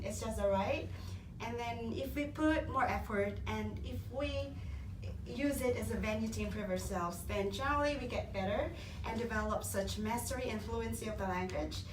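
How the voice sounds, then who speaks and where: A woman's voice: a little high, background noise. A woman from the Philippines, a language student, speaking fluently and in an organized way in a group discussion. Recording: roomy, distant classroom recording.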